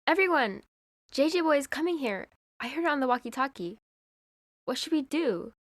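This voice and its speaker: A woman's voice: high-pitched, moderate pace, no echo, studio quality, voice pushed up, full character voice. A woman doing a chirpy, eager young girl. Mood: excited. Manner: excited, chirpy.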